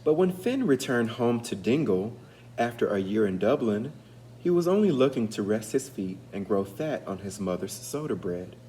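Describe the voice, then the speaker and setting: A man reading a storybook aloud as an educator, pausing to put guiding questions to young listeners. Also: medium pitch, very animated, warm tone, slight background noise.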